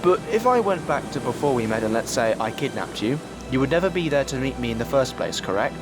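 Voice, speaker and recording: A man's voice: medium pitch, sing-song, fast, over music, natural voice. A man playing a brooding, analytical time-travelling alien in an audio drama, explaining things in a formal, commanding way. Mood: explanatory.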